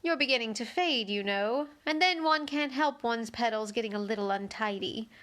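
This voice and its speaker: A woman's voice: on the high side, moderate pace, fairly bright tone, natural voice. A woman voicing a prim, blunt rose from a children's storybook, with a severe and patronising manner.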